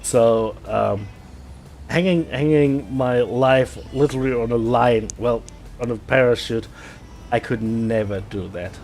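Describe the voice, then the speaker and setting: Slow-talking German man, halting. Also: medium pitch, creaky, darkish tone, soft background music. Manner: halting, repeats words, many fillers. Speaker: gaming streamer. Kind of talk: casual gameplay chat.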